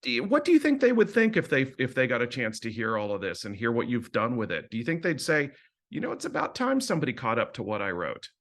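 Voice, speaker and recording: A man hosting an interview, asking deliberate, carefully built questions. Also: mid-range voice, huge pitch swings, fairly bright tone. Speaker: interviewer, host.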